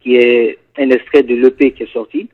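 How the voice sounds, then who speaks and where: A singer from Ivory Coast with an Ivorian French accent, chatting in a radio studio interview and often answering "yes, sir". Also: male voice, mid-range voice, normal speed, dull audio. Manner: relaxed, peppers talk with "yes, sir". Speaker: guest singer.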